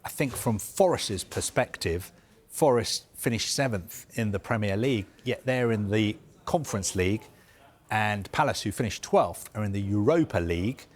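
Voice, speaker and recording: A man with a fairly low voice walks through a football news story for a live broadcast. He speaks in a measured, explanatory way and often pauses on "uh" and "um" while he lays out the details. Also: lively intonation.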